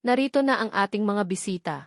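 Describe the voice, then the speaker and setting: A woman narrator acts out an elderly matriarch of a wealthy house. She speaks with formal, commanding authority in a storybook drama, in a medium-pitched voice recorded very dry. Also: fast, dry recording, close to an everyday voice. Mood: tender. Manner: formal, insinuating, imperious.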